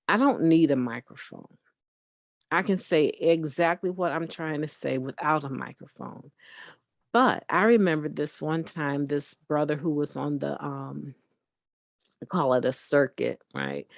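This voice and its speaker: A woman with a booming voice giving an earnest, encouraging spoken meditation on recovery, talking conversationally and often tagging her points with a questioning "right". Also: vocal fry, no room sound, studio quality.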